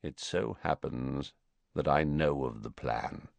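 A man voicing a cold, calculating male wizard in an audiobook, speaking in a measured, deliberate way. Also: sits low, very animated, no room sound, exaggerated voice. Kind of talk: audiobook character voice.

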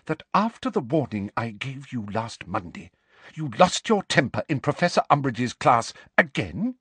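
A man's voice: medium pitch, big pitch swings, normal speed, slightly rough, no echo, voice pushed up. A man voicing a stern, strict older female professor who speaks sharply and tartly. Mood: hushed stern. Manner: sharp, tart and clipped.

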